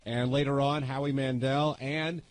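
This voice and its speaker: A man's voice: medium pitch, fairly even intonation, normal speed, a little hiss or hum. A man hosting a radio talk show, introducing guests, breaks and segments.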